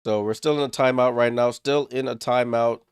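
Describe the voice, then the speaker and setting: A man's voice: mid-range voice, steady tone, fairly quick, clear, resonant tone, dry recording. A man hosting a live watchalong stream, calling the game in short clipped play-by-play bursts and chatting with viewers, a little under the weather and congested. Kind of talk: casual live play-by-play commentary.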